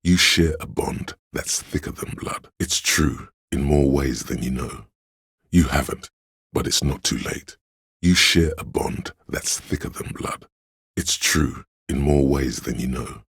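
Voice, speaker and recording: A man playing a solemn, brotherly inner voice of police instinct in a video game, narrating in a measured, brooding, literary way with a gravelly, husky tone. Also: very deep voice, fairly bright tone, dry recording, clean studio sound, full character voice. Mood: solemn, concerned. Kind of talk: scripted second-person game narration.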